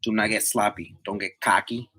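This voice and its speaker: A man's voice: fairly low pitch, fairly even intonation, normal speed, pushed and bright, husky. A man in his twenties chatting casually to live viewers in a slangy, motivational way, repeating himself for emphasis. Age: 20s. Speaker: livestream host, tree climber.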